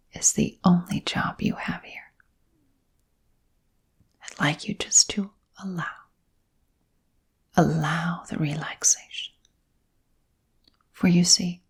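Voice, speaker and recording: A woman hypnotherapist guiding listeners into sleep hypnosis in a soft, breathy whisper, with soothing phrasing and gentle pauses. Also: fairly low pitch. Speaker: hypnotherapist and channel host.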